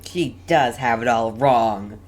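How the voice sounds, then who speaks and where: Female game streamer, extremely low register, casual and slow, thinks out loud. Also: a little hiss or hum. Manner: thinking aloud, repeating words. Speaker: gaming video host. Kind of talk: casual playthrough commentary.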